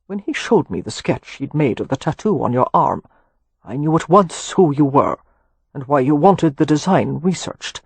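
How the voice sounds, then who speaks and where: An old Anglo-Irish earl, acted by a male audiobook narrator, proud and shrewd. His husky voice is dry and aristocratic, and he speaks as one used to being obeyed. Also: medium pitch, expressive, normal speed, dry recording. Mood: cool, imperious. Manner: aristocratic, graceful, dry, commanding. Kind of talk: audiobook character dialogue.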